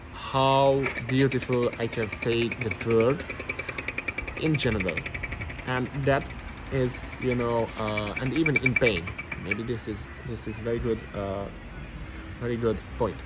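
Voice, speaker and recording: A man's voice: mid-range voice, fairly even intonation, unhurried. A man with a Hungarian accent, a non-native English speaker, thinking aloud in a rambling, reflective vlog, often stopping and restarting as he searches for words. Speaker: vlogger. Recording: noisy background with passing vehicles.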